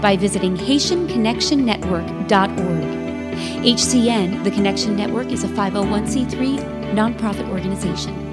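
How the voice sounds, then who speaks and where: A woman's voice: mid-range voice, moderate pace. A woman reading a scripted charity promo as its announcer. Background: loud music.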